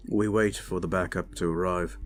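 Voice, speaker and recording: A weary knight captain of a fantasy war drama, acted by a man. His voice sits fairly low. He speaks in measured, formal phrases, solemn and haunted. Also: fairly even intonation, faint music behind, lightly acted. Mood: haunted, somber. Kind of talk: fantasy audio drama acting.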